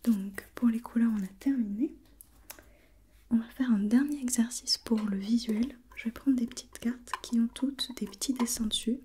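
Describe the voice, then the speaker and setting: A woman speaks in a breathy ASMR whisper, soft and dark in tone, as an examiner in a role play. She guides gently, step by step, repeating short questions. There is faint noise behind her. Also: fairly high pitch, moderate pace. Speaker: examiner in a role-played visual test.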